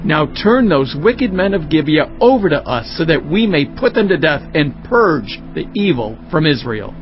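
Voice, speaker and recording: A man's voice: fairly high pitch, very animated, normal speed, lo-fi sound, faint music behind. A man playing an indignant Israelite warrior leader in dramatized scripture, declaiming emphatically. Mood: resolute, indignant.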